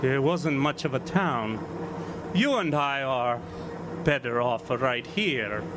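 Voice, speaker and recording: A man's voice: mid-range voice, animated, slow pace. A man playing a stubborn, cheerful middle-aged Italian immigrant farmer in a radio drama, with an Italian accent and simple, easygoing English. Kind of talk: live radio drama acting. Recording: noisy outdoor amphitheater stage recording.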